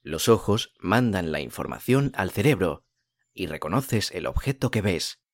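A man narrating a guided meditation as host, speaking in a calm, soothing, unhurried way with long pauses. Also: sits low, no room sound, clean studio sound.

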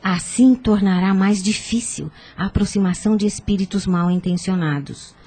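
A woman's voice: medium pitch, moderate pace, mellow, slightly rough. An actress with a Brazilian accent playing a kindly, devout older woman in a radio soap, earnest and instructive. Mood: solemn counsel. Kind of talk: radio soap opera acting.

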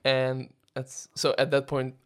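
Male podcast host, mid-pitched voice, casual and tentative questions. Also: completely flat delivery, slow, smooth. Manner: hesitant questions with restarts and light laughter. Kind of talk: interviewing, casual chat.

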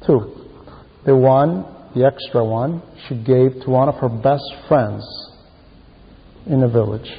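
A man preaching a sermon in an emphatic, deliberate manner, repeating key phrases for emphasis. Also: medium pitch, slightly rough. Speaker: preacher reading a translated homily. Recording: muffled, very reverberant hall sound.

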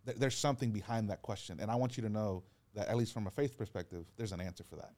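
A man, a pastor, talking earnestly in a relaxed podcast chat, telling stories and acting out what people said. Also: fairly low pitch, fast talker. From Georgia, US. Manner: earnest, encouraging storyteller who acts out quotes. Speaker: pastor and guest. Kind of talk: casual podcast conversation.

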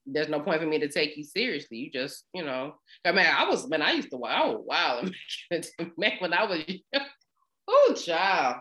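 Bright-voiced candid woman in her thirties. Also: deep voice, measured pace. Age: 30s. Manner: candid, laughs often, animated storytelling. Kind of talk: casual chat.

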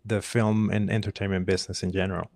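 A man's voice: low voice, level tone, fast, creaky, a little mellow, dry recording. A man from Cuba in his thirties, a filmmaker guest on a podcast, telling his story in an earnest, enthusiastic way. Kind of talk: casual interview storytelling.

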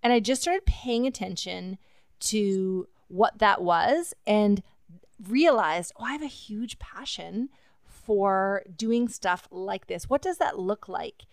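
A woman hosts a podcast, talking reflectively and earnestly with easy fillers. Her voice is smooth and resonant at a middle pitch. The recording is dry and close. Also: lively intonation, slow pace, dry recording. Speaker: podcast host. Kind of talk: casual conversation.

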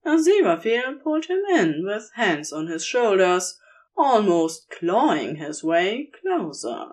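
A woman's voice: medium pitch, big pitch swings, unhurried, a little mellow. A woman narrating romantic fiction from a script as a storyteller.

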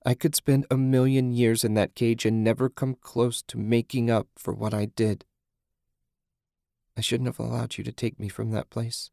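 A man's voice: medium pitch, level tone, moderate pace, creaky, warm tone, no room sound, studio quality, natural voice. A man voicing a self-loathing, beaten-down mother in an audiobook, confessing and pleading. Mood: broken, ashamed. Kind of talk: audiobook character acting.

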